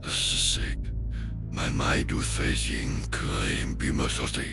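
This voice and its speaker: A man reading a horror story in a garbled, stumbling way, his voice run through a dark villain voice filter. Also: slow pace. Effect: deep dark villain voice filter. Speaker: amateur online horror story narrator. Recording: music behind, heavily filtered voice. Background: music.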